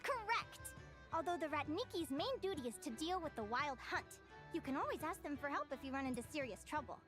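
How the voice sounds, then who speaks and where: A woman's voice: high voice, lively intonation, fairly quick, over music. A woman voicing an eager, bubbly young guild advisor who lectures with gushing enthusiasm, in a video game. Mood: lecturing. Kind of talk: game voice acting. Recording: game soundtrack behind.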